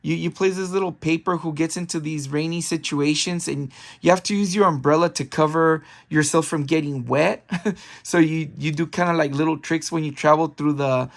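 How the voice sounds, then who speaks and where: Rambling man, raspy from a cold. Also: fairly high pitch, steady tone, moderate pace. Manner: rambling, frequent ums and self-corrections. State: getting over a cold, raspy. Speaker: video game collector vlogger. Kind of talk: casual haul video.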